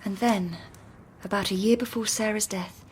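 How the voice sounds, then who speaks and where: An actress playing a determined, devoted young Victorian wife in a period radio drama, with intense, emotional delivery. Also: female voice, mid-range voice, steady tone, normal speed, slight background noise, natural voice. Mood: pained, earnest.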